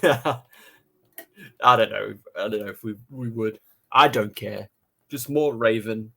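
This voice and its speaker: A man's voice: fairly low pitch, slow, creaky, bright, forceful tone. A man co-hosting a casual fan review, talking in an enthusiastic, joking way and often repeating words as he goes. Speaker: podcast co-host and reviewer.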